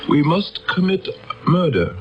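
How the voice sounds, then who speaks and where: An actor playing a cold, commanding elder of a futuristic council in a solemn radio drama style. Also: male voice, a little high, very animated. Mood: grave, implacable. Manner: solemn, deliberate. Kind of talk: radio mystery drama acting. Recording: dull old radio broadcast, some noise.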